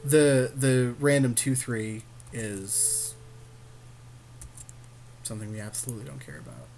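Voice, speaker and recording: A man's voice: mid-range voice, unhurried, vocal fry, darkish tone, slight background noise. A man co-hosting a game session in casual chat, readily agreeing with and affirming his partner's suggestions. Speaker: podcast co-host and card game player.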